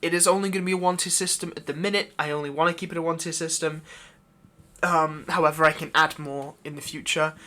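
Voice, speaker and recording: A man's voice: on the high side, fairly even intonation, fast talker, bright, forceful tone. A man hosting his own channel, giving a casual, unscripted update in a rambling, hesitant way. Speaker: channel host, audio roleplay creator.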